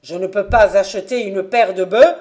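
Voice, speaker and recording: Storybook role: bitter, indignant eldest son of a farmer woman narrator performing, forceful, loudly protesting. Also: medium pitch, moderate pace, natural voice. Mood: furious, challenging.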